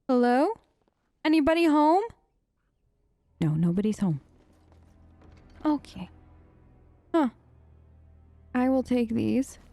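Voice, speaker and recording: A woman's voice: fairly high pitch, vocal fry, darkish tone, no room sound. A woman giving casual, playful let's play commentary, expressively talking to herself and to the game's characters. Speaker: gaming video host. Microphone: close dry voice-over microphone.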